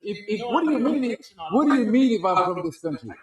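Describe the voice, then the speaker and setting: Indignant man protesting, high voice, far from the mic, heavy echo, busy crowd behind. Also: sing-song, fast. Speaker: driver filmed in a viral clip. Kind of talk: arguing with officers. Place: airport terminal. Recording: roomy distant phone video with crowd.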